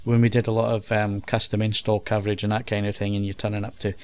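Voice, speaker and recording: A man's voice: fairly low pitch, fast talker. A man hosting a podcast, chatting casually and rambling a little as he wraps up the episode. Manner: relaxed, chatty, rambling wrap-up. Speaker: podcast host. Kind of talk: casual chat.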